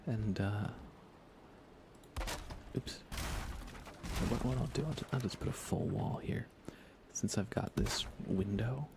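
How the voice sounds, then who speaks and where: A soft-spoken man chatting casually in an ASMR game livestream, often slipping into a whisper as he rambles along unhurriedly. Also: fairly low pitch, slow pace, pauses often, noisy background. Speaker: live streamer. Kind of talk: soft ASMR gaming stream, casual chat with viewers.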